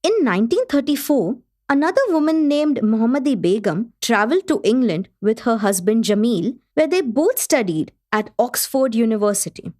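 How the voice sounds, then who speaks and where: Gracious, lively hostess played by an actress. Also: female voice, a little high, moderate pace, few pauses, natural voice. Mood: gracious, appreciative. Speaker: host. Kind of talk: scripted radio play narration.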